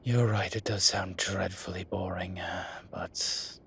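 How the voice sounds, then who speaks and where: Weary incubus professor played by a man, lowish voice, wry and measured, intimate audio drama, ambient noise behind. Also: fairly even intonation, gravelly, a bit bright, full character voice. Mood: wry, teasing. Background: ambient sound effects.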